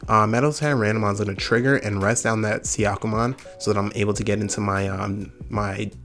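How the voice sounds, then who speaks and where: A man's voice: lowish voice, steady tone, a bit bright, soft background music. A young man hosting a card game channel, giving casual gameplay commentary with a slightly nasal voice from a summer cold. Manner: fast, upbeat, casual gamer talk.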